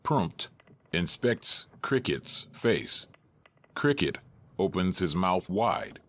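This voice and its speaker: A man's voice: sits low, sing-song, extremely slow. A man narrating a scripted audio drama as its storyteller.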